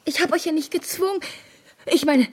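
An actress in a radio play voicing an anxious woman who reasons and pleads in a halting, insistent way. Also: high voice, close to an everyday voice. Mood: conceding, insistent. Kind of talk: radio drama acting.

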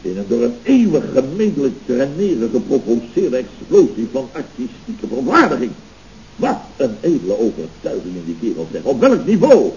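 A blustering, mellow-voiced boss. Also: male voice, medium pitch, sing-song, normal speed. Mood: pompous. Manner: blustering, theatrical, muddles words and sayings. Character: pompous, touchy, self-important boss of a building firm. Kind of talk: 1970s Dutch radio comedy acting. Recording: muffled, hissy old radio recording.

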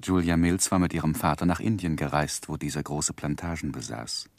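An actor playing an earnest, tender gentleman who narrates his life in a gentle, reflective, expressive way in a 1960s German radio play. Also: a bass voice, a little fast, no echo. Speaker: first-person narrator and lead.

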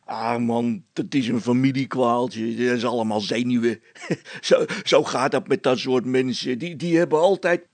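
A man's voice: mid-range voice, a little slow, no room sound, lightly acted. A man voicing a gullible, jovial village constable in a storybook, chatty and hearty, repeating himself as he rambles. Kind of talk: storybook character acting.

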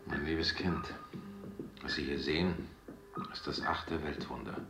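A man's voice: rumbling low, measured pace, creaky, loud music behind the voice, full character voice. A man in a German radio drama playing a suave, pompous scientist with a paternal, courtly manner. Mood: grandiose. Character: suave, grandiose scientist proud of conquering death. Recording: echoey, loud background noise.

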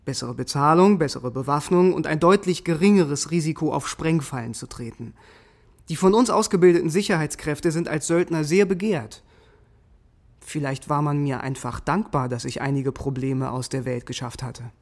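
A man's voice: on the high side, moderate pace, dry recording. A man acting in a radio drama as an embittered, defiant German army sergeant who narrates his own fate with clipped intensity. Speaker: lead actor in radio drama. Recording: music behind the voice.

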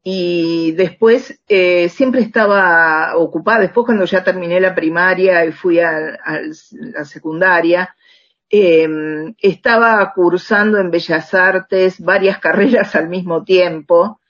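A woman's voice: fairly low pitch, slow, a bit bright, muffled recording. An older woman with an Argentine accent reminiscing casually as an interview guest, searching hesitantly for her words. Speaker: interview guest, radio drama writer.

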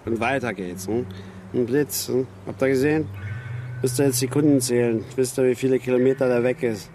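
An actor playing a hapless, wistful schemer in a naturalistic radio drama, talking in a colloquial, halting way. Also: male voice, medium pitch, steady tone, warm tone, slight background noise, lightly acted. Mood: wistful, coaxing. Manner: colloquial, halting, tag questions. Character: hapless, wistful car-owning schemer placating his mates.

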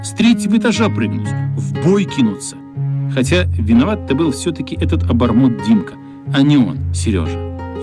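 A man narrating a radio play in an expressive, measured literary storytelling style. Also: medium pitch.

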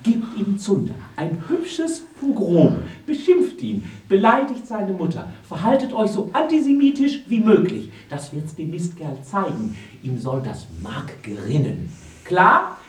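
A woman's voice: mid-range voice, big pitch swings, measured pace, a little mellow. A malicious female ringleader in a radio play, heard at a distance in an echoing room. She is theatrical and commanding, her falsely sweet voice swinging up and down. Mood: malicious glee. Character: gleefully malicious ringleader of a cruel game. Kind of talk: German radio drama acting.

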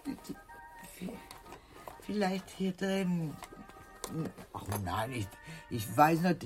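An actress playing a scatterbrained, effusive older aunt in a German radio drama, halting and repeating herself with dramatic sighs. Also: female voice, fairly low pitch, sing-song, over music. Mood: vague, groggy.

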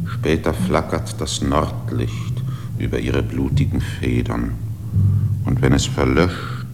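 An ancient, brooding slate mountain, deep and gravelly. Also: male voice, fairly even intonation, noisy background, heavily acted. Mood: solemn, brooding. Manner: slow, solemn, half-whispered. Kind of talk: poetic radio play monologue. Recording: long reverb under clear music.

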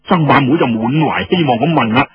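A man narrating a dramatic traditional story in an expressive, emphatic way, with a Cantonese accent. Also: mid-range voice, fairly quick. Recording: dull lo-fi radio sound with room echo.

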